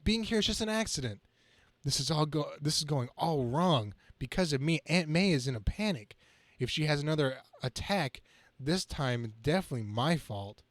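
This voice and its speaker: Guy reading as young comic book superhero, quippy but kinda whiny about his bad luck, highish voice. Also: animated, normal speed, dry recording, lightly acted. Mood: anxious, fretful. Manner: quippy, self-pitying, dramatic reading from a page.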